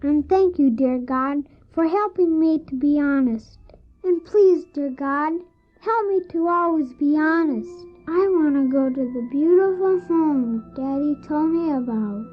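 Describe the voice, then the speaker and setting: A very high, young voice playing a polite, honest, lonesome orphan newsboy in an audio drama. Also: unhurried, faint music behind. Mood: earnest, wistful. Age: child character. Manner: earnest, plain-spoken, polite. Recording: dull, noisy old cassette tape.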